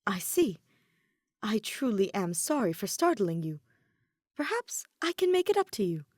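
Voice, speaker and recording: A woman reading a story, voicing a composed, gentle young woman leader who speaks formally and reassuringly. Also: mid-range voice, big pitch swings, unhurried, dry recording, studio quality.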